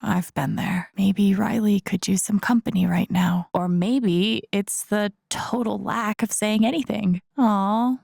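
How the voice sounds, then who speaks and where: A young woman as a video game protagonist, thinking aloud to herself. Her mid-pitched voice has plenty of gravelly fry, and the sound is close and dry. She is casual, wry and empathetic, keeping things understated. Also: steady tone, a little slow, hardly any pauses, darkish tone, dry recording. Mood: wry, sympathetic. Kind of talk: understated video game voice acting, interior monologue.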